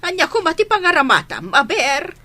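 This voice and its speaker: A woman's voice: extremely high pitch, big pitch swings, fairly quick, sharp tone, dry recording, exaggerated voice. A woman with a Filipino accent playing a firm, worried grandmother in a radio drama. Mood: scolding. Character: firm, worried grandmother who scolds her grown daughter.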